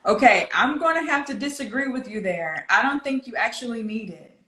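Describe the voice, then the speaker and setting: A woman with a fairly high voice and a Southern US accent speaks as a pronunciation coach, explaining directly and often posing questions. The recording is noticeably roomy and echoing. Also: expressive, fairly quick, sharp tone. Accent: American Southern. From southern United States. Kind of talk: one-to-one coaching conversation.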